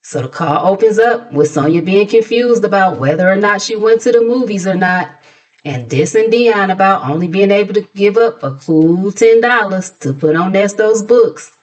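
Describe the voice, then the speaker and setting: A woman's voice: mid-range voice, animated, moderate pace, darkish tone, poor audio. An American woman hosting a gossip recap, chatting casually in a sassy, conversational way with mocking asides. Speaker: host of a gossip commentary channel.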